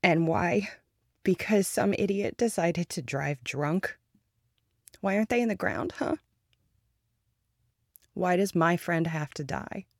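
A woman voicing a grieving young girlfriend who moves between bitter anger and soft whispers, speaking close to the microphone. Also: fairly low pitch, slow pace, pauses often, no room sound. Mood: angry. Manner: shifts between angry outbursts and soft whispers. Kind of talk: audio roleplay to a listener.

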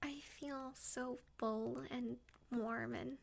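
High, breathy woman, intimate and slow. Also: fairly even intonation. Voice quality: breathy, often whispered. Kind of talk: intimate erotic audio roleplay, addressing a partner.

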